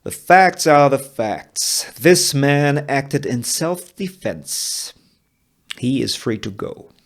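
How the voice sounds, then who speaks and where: Wild West lawman played by a man: domineering, measured, cruel, holding court in a TV western script. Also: medium pitch, expressive, vocal fry, pitched above the performer's own voice. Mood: commanding. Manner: deliberate, domineering. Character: sadistic, commanding Wild West sheriff acting as judge.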